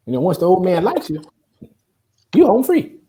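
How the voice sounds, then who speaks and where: A woman's voice: on the low side, big pitch swings, normal speed, warm tone, a little hiss or hum. A woman playing a streetwise, brash male barber giving folksy, slangy advice in a comedy table read. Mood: brash, advising.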